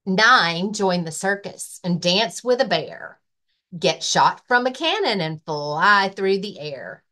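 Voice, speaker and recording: A woman reads a children's picture book aloud in a story-time style. Her pitch swings in a lively way across the rhymes, and she takes the verse at an unhurried, rhythmic pace. Her voice sits in a middle register for a woman. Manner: expressive, rhythmic reading of rhyming verse. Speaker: librarian and story-time reader.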